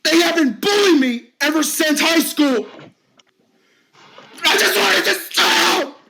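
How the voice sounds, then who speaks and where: A man's voice: extremely high pitch, sing-song, takes it slowly, vocal fry, slight background noise, pitched above the performer's own voice, exaggerated voice, low-quality recording. A man doing a shrieking tearful middle-aged man. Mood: distraught. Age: 50s. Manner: shouting, voice cracking into sobs. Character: bullied fifty-year-old man, desperate and tearful. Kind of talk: amateur drama acting.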